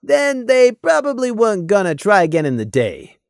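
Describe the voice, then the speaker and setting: Storybook role, narrator playing male pony companion, gruff, candid, caring, mid-pitched. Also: huge pitch swings, normal speed, dry recording, studio quality. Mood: grumbling. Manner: frank, grumbling, awkwardly candid.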